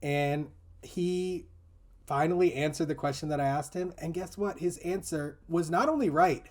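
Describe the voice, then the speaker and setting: A man's voice: a little high, moderate pace, a little mellow. A man talking in a casual, reflective discussion, explaining earnestly and often dropping in "you know" and "like". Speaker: teacher in a discussion group.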